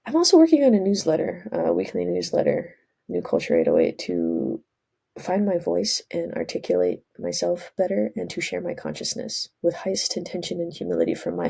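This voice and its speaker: Vlogger, woman, stuffy from a cold, gravelly and husky, earnest rambling delivery. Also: fairly low pitch, warm tone. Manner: rambling, earnest, self-correcting. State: congested from a cold. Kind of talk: casual spiritual vlog monologue.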